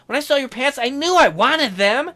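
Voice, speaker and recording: A man's voice: extremely high pitch, expressive, normal speed, pushed and bright, pitched above the performer's own voice, heavily acted. A man voicing a cute, cheerful, teasing nerdy young woman from a visual novel, bubbly and earnest. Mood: earnest, eager. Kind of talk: acted visual novel dialogue.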